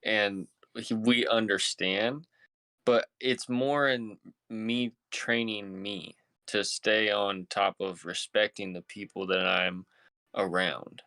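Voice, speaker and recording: A man's voice: fairly low pitch, fairly even intonation, slow, bright, forceful tone, no room sound. A man in his twenties co-hosting a casual podcast, speaking sincerely in informal, slangy language. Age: 20s. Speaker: podcast co-host. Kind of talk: casual chat.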